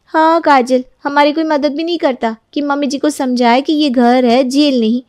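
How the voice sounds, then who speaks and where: A woman's voice: high-pitched, fairly even intonation, moderate pace, a little mellow, dry recording, clean studio sound, lightly acted. A woman voicing a bold young daughter-in-law. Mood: defiant. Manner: bold, defiant. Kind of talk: Hindi cartoon dubbing.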